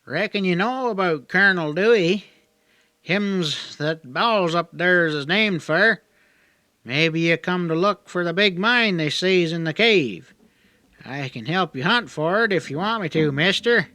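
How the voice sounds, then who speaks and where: High, eager young hillbilly. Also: male voice, animated, a little slow, pushed and bright, no room sound, full character voice. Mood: rambling, folksy. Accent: rural Southern hillbilly. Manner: folksy drawl, rambling and eager. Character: talkative young Ozark backwoods native, naive and friendly. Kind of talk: audiobook character dialogue.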